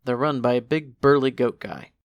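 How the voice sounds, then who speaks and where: A man playing a lazy, laid-back skeleton who makes puns in a fan audio drama, talking in a casual, unhurried, slangy way. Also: mid-range voice, dry recording, highs cut off, natural voice. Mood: laid-back, amiable.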